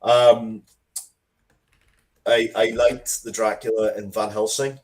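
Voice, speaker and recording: Low-voiced man in thoughtful interview chat. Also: flat delivery, a little mellow. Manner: halting, thoughtful, repeats words as he goes. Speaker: interview guest, writer of Dracula comics and media. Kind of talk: casual interview conversation.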